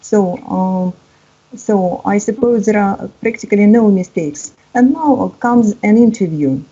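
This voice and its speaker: A woman's voice: mid-range voice, unhurried, warm tone, husky. Hesitant woman teacher in her fifties. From Russia. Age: 50s. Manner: hesitant, many uh fillers, encouraging. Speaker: English teacher. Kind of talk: online exam-prep lesson. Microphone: video call. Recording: dull lo-fi call audio.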